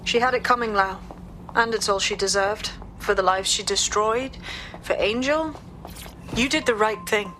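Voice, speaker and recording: A woman's voice: medium pitch, moderate pace, a bit bright, a little hiss or hum, lightly acted, poor audio. A woman playing a firm, loyal resistance ally in a video game, earnest. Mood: reassuring. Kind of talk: video game cutscene acting.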